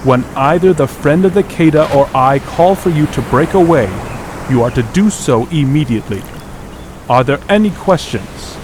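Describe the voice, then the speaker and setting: An actor playing a proud, commanding dragon lord in an audio drama, speaking in formal, stately phrases. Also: male voice, on the high side, lively intonation, moderate pace, natural voice. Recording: clearly noisy background.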